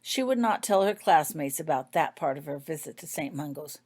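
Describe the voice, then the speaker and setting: Woman with a lowish voice reading out her story, audiobook type narration. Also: lively intonation, moderate pace, a little mellow. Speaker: author reading own fan fiction aloud.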